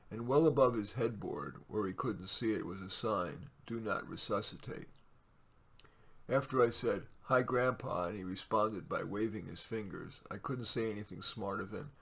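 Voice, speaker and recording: A man with a very low, husky voice reads short fiction aloud. His delivery is measured and expressive, in the manner of an author sharing his own stories, with plenty of gravelly creak in the tone. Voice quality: raspy, gravelly. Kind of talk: storytelling read aloud with casual asides.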